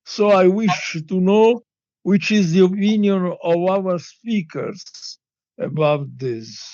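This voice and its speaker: A man putting an unscripted question at a symposium, in halting, word-by-word non-native English. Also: sits high, a little mellow, no room sound. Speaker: symposium participant. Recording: dull, dry lo-fi microphone sound.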